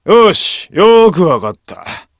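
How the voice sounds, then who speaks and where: A man voicing an intimidating, gruff and confrontational teenage rugby player in a Japanese visual novel. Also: high voice, big pitch swings, a little slow, no echo, clean studio sound, heavily acted. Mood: threatening. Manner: menacing, confrontational.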